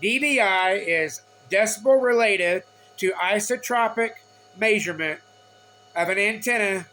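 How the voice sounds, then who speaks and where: Male explainer, high and bright voice, informal, choppy note-reading delivery, slightly noisy recording. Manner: halting, repetitive, reads in short phrases. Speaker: explainer of radio antenna measurements.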